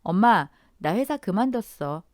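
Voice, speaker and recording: Woman reading bratty young sister-in-law, whiny, sulky, pitched high. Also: animated, unhurried, darkish tone, no room sound, studio quality, natural voice. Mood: flippant.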